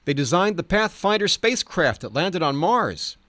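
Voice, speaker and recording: An eccentric cowboy singer, as a male audiobook reader puts him on, boastful and excitable. The voice is pitched well above natural talk and declaims loudly, swinging widely, in a dry recording. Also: normal speed, no room sound, exaggerated voice. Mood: proud, emphatic. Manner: loud, emphatic declaiming.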